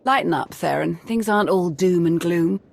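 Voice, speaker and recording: An actress playing a composed, shrewd intelligence adviser in a video game, with a crisp, purposeful way of briefing. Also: female voice, fairly low pitch, moderate pace. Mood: upbeat. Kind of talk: video game cutscene acting.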